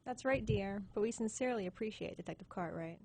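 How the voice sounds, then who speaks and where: Woman playing a fast, sweet-talking gangster's wife. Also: mid-range voice, a little mellow, dry recording, lightly acted. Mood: adoring, sly. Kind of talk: crime audio drama acting.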